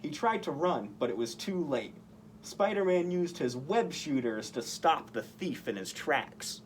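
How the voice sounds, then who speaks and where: A man reads a children's story aloud in a highly animated way. His voice is on the higher side and moves widely in pitch, with some noise in the background. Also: measured pace. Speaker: costumed story-time reader in superhero persona.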